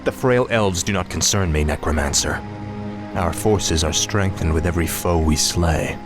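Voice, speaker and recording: A man's voice: deep voice, normal speed, music in the background, close to an everyday voice. A man playing an arrogant death knight. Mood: cold. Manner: cold, arrogant, commanding. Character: arrogant male death knight, fallen prince. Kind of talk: video game cutscene acting.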